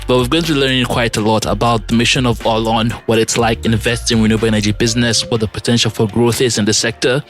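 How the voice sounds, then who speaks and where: A man's voice: medium pitch, level tone, fast, hardly any pauses, fairly bright tone, soft background music. A man hosting a podcast, introducing his guest and asking questions with enthusiasm. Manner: enthusiastic, appreciative. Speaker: podcast host.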